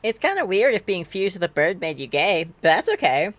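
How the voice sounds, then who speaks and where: A woman's voice: lowish voice, big pitch swings, fairly quick, dry recording. A woman voicing a curious, teasing, bluntly awkward teenage boy in an amateur fan fiction read-aloud.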